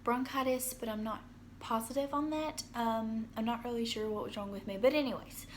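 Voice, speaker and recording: Hoarse young woman vlogging casually. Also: a little high, brisk, slight background noise. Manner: rambling, lots of ums and restarts. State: hoarse from illness. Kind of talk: casual personal update to camera. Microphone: phone propped up.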